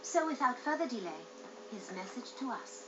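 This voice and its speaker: A woman's voice: fairly high pitch, sing-song, normal speed, noisy background. A woman playing a cool, poised young crime heiress in a video game, speaking formally and with composure. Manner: formal, composed, smoothly aloof. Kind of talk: video game cutscene acting. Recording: dull game audio under music.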